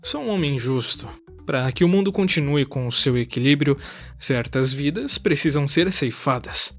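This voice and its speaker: A man's voice: a little high, lively intonation, moderate pace, soft background music. A man acting a proud, honourable lancer and father with formal, haughty phrasing in an audio drama.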